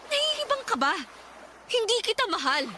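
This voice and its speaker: A woman's voice: very high-pitched, sing-song, moderate pace, background noise. An actress playing a self-sacrificing, poor young woman, speaking firmly and emotionally in a radio drama. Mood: distressed, insistent. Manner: firm, emotional.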